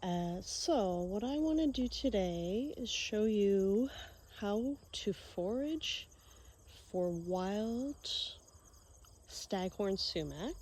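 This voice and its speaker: A woman with a cold and a slightly nasal, congested voice, who explains foraging in a relaxed, casual way, with fillers, recorded outdoors. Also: medium pitch, very slow. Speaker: forager and video host. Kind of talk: casual how-to narration. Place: outdoors by an abandoned railway line.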